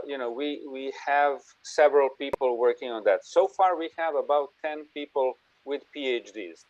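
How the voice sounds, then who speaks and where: A man's voice: mid-range voice, a little mellow, no room sound. Guy doing an online conference talk, conversational, dry muffled lo-fi sound. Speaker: invited speaker presenting an AI institute. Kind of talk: conference presentation and Q&A. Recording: dull, lo-fi online call audio.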